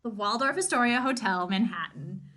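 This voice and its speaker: A woman co-hosting a casual podcast, chatting with playful giggles and reading a book aloud with lively emphasis. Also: mid-range voice, moderate pace.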